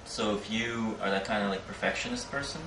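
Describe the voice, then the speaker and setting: A man's voice: fairly low pitch, expressive, a little fast. Young male student, plain direct delivery; distant, roomy, noisy recording. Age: young adult. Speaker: student panelist. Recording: roomy, distant mic with background noise.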